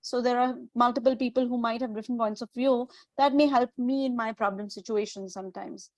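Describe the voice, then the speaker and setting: A woman's voice: a little high, steady tone, fairly quick, darkish tone, dry recording. Woman teacher on a dry video call. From India. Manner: hesitant, many fillers. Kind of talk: webinar discussion.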